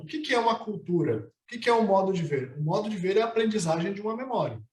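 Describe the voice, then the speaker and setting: A man, a psychologist and philosopher, explaining his ideas in a teacherly way, asking and answering his own questions. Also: sits high. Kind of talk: explanatory conversation. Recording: roomy echo.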